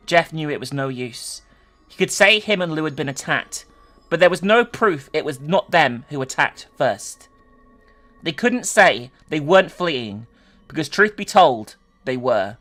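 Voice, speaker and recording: Give me a theatrical, very expressive horror story narrator who gets sweary and mocking, with faint music. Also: medium pitch, unhurried. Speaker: online video host. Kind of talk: dramatic reading of an online horror story with mocking commentary.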